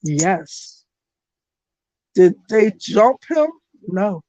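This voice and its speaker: A woman running a casual livestream as a spiritual reader, blunt and profane, with a voice that is going hoarse. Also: medium pitch, extremely slow, no echo, highs cut off. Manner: blunt, profane and animated, talks to her chat. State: losing her voice.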